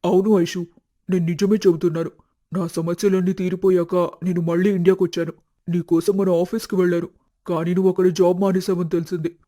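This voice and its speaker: A man's voice: high voice, flat delivery, brisk, warm tone, close to an everyday voice. A man voicing a young ex-boyfriend in a cartoon story, explaining himself persuasively. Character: smooth-talking young ex-boyfriend.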